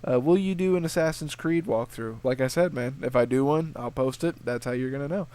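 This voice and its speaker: A young man answers viewer questions in a loose, rambling, laid-back manner, swearing often and restarting his sentences. His voice sits at an ordinary middle pitch and sounds a bit sniffly, as if he has a cold and a sore throat. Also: fairly quick, warm tone, clear, resonant tone. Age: young adult. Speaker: video game walkthrough channel host.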